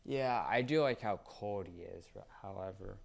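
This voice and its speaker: A man chatting casually as a fan, often halting and searching for his words. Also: lowish voice, creaky.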